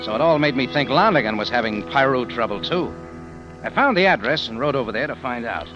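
Guy acting as tough wisecracking american private eye, very animated, old muffled radio show w music. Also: mid-range voice, a little fast, lightly acted. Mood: narrating, dry. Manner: hard-boiled, sardonic, slangy. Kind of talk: 1940s radio detective acting with first-person narration.

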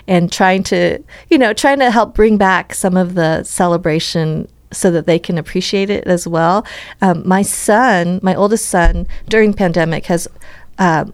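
A woman's voice: on the low side, dry recording. A woman from the United States hosting a podcast, chatting casually and affirming her guest often. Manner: warm, affirming, laughs often. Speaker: podcast host. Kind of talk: casual interview chat.

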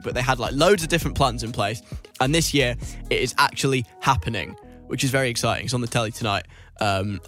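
A man's voice: medium pitch, a bit bright. A man presenting and interviewing on a radio show in a chatty, informal, casual way.

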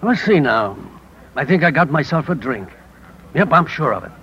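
A man's voice: a little high, sing-song, normal speed, a bit bright. An actor playing an older, seasoned newspaperman in a 1950s radio drama, speaking with dry, laconic, fatherly stoicism. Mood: stoic, wry. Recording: dull, noisy vintage radio recording.

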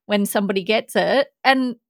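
A woman chats upbeat on a podcast, laughing often. Her voice sits in a middle pitch. The sound is dry and close to the mic. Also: moderate pace, dry recording. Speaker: podcast co-host. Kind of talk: casual chat.

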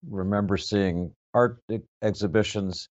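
A man with a deep, low voice interviews a guest in a formal register. His phrasing is measured and hesitant, and the audio is dull and lo-fi. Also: fairly even intonation, a little mellow. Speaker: interviewer, moderator.

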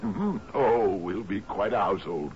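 Deep-voiced, lordly sea captain. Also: male voice, lively intonation, measured pace. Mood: delighted, insistent. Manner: hearty, lordly, repeats his phrases. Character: genial, domineering sea captain, master of an island. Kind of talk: old-time radio drama acting. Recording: muffled, noisy old radio broadcast.

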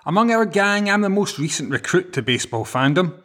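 A man's voice: high-pitched, very animated, fairly quick, a bit bright. A man with a Glaswegian Scottish accent, hosting a podcast with scripted introductions. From Glasgow, Scotland. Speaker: podcast host.

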